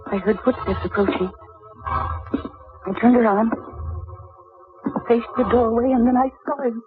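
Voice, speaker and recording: A woman's voice: on the high side, measured pace, lightly acted. Actress playing haunted young woman, intense dramatic delivery, old radio drama, tinny narrow sound, prominent music behind. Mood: eerie suspense. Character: intense, haunted young woman seeking her dead father's truth. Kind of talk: old-time radio drama acting and narration.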